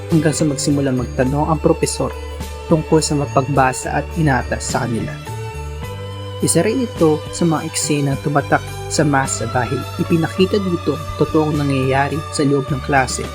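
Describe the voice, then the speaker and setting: A man with a mid-range voice narrates a radio drama as its DJ, formal and measured. Guitar music plays clearly behind him. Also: on the high side.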